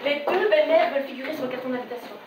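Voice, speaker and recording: Exasperated bride, played by an amateur actress: high-pitched, emphatic stage delivery, picked up from far off. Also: female voice, racing, lightly acted. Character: exasperated bride recounting family wedding-invitation squabbles. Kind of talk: theatrical stage monologue in French. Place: theatre stage at a dress rehearsal. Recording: echoey stage recorded from afar, faint noise.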